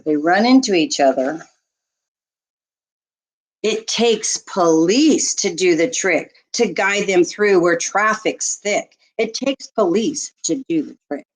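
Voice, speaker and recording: A woman reading a rhyming children's picture book aloud like a teacher, expressively and deliberately, as a storyteller would to young listeners. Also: lowish voice, animated.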